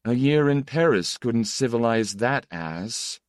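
A man's voice: mid-range voice, expressive, slow, no echo, pitched above the performer's own voice. A man voicing a disagreeable, aloof poet in his twenties with a dry, clipped, scornful delivery in an audiobook. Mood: sneering. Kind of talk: audiobook character dialogue.

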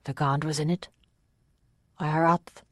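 A storybook narrator voicing a calm, kindly older lord, soft and peaceable, asking gentle questions without urgency. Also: mid-range voice, slow, no room sound, clean studio sound. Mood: gentle questioning. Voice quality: soft, hushed.

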